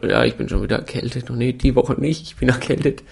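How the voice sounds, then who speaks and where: Husky-voiced man with a cold. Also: medium pitch, fast, a little mellow. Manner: teasing, joking, laughs often. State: has a slight cold. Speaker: podcast co-host. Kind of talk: casual chat. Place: indoor room with a camera.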